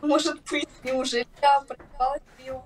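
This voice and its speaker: High-voiced giggly young woman. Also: little pitch movement, moderate pace, a little hiss or hum. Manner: defensive, giggly, flustered. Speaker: interviewee. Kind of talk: on-camera street conversation.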